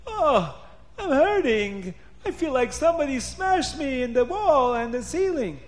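A man voicing a wicked, self-pitying storybook king who wails and complains in drawn-out cries. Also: very high-pitched, big pitch swings, voice pushed up, full character voice. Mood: hurt complaining. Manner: wailing, drawn-out cries of woe.

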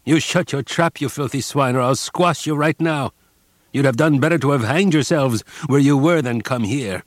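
A man's voice: mid-range voice, moderate pace, no room sound, clean studio sound, pitched above the performer's own voice, lightly acted. A man voicing a brutal male camp prisoner who screams with hysterical fury at new arrivals. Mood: furious.

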